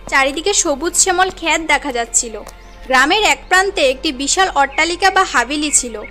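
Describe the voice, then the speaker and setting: A woman's voice: sits high, bright, forceful tone. A woman giving scripted narration for a cartoon story as its narrator.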